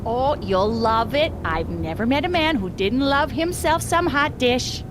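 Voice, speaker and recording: A woman's voice: high voice, lively intonation, unhurried, background noise. An actress playing a cheery, motherly small-town innkeeper in a video game, with a Minnesotan accent and a folksy, gushing manner. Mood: cheery, welcoming. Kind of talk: video game character dialogue.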